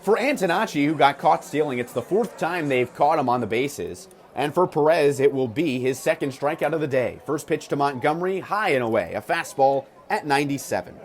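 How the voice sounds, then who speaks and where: Higher-pitched American baseball play-by-play man. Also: lively intonation, a little fast, hardly any pauses. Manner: punchy, clipped calls mixed with stat-filled asides. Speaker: baseball radio play-by-play announcer. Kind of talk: live sports commentary. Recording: clear ballpark noise behind the voice.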